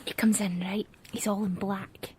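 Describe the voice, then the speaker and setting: A woman playing an excitable young Scottish girl who is mad on pop music, in naturalistic drama dialogue. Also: mid-range voice, a little slow, a little hiss or hum, close to an everyday voice. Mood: eager, confiding.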